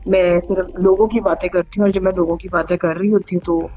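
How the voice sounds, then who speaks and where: A woman's voice: on the low side, fairly even intonation, fast, dark tone. A woman casually telling personal stories as a show host, chatty and mixing in English phrases. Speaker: host of true ghost story show. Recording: dull band-limited audio, background music. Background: music under the voice.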